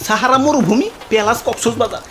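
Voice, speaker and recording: A man's voice: very high-pitched, a little fast, a bit bright, slight background noise. A man voicing a sarcastic young village lad in a comic cartoon, complaining in exaggerated Bangladeshi dialect over music. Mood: sarcastic grumbling. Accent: Bangladeshi, Dhaka-area dialect.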